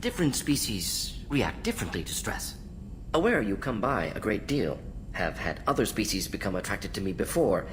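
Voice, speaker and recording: An actor playing a brisk alien scientist-doctor in a video game, speaking in clipped, clinical fragments with a slyly teasing edge. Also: male voice, lowish voice, big pitch swings, fairly bright tone, slight background noise. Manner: clipped telegraphic phrases, dropped pronouns.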